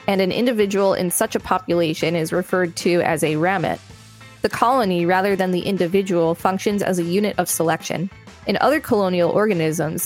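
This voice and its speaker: A woman co-hosts a reading podcast in a somewhat low voice, steady through the long passages. She breaks in now and then with dry, wry remarks. Faint music plays under her. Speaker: podcast co-host. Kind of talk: reading an article aloud with banter.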